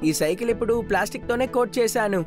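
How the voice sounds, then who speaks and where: Woman as a quick-talking plucky cartoon boy hero. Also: fairly high pitch, music in the background, natural voice. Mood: eager, determined. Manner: earnest, urgent, determined. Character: plucky confident young boy hero with gadget bicycle. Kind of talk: Telugu cartoon dub acting.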